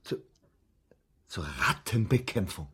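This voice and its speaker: An actor in a German radio drama playing a formal, methodical male investigator with a husky voice, probing and sceptical. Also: sits low, big pitch swings, takes it slowly, sharp tone, exaggerated voice. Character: persistent, sceptical male investigator questioning a suspect.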